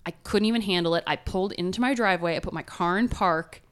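A woman's voice: on the low side, fast. A woman with a nasal voice, co-hosting a podcast and telling a story in casual chat, laughing often. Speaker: podcast co-host.

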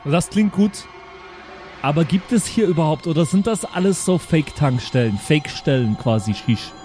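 A naive alien youngster, played by a man, rather high in pitch but soft and mellow in tone. The character sounds hesitant and childlike, always questioning, over faint music in an audio comedy. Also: lively intonation, moderate pace, lightly acted. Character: naive, slow-witted alien child, devoted to papa. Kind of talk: improvised audio drama acting.

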